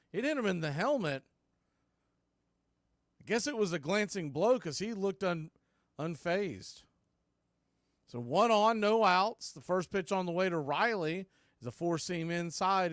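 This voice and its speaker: A man's voice: high voice, sing-song, unhurried, long pauses, a bit bright, no echo, clean studio sound. A man giving live baseball play-by-play commentary in the style of a radio broadcast.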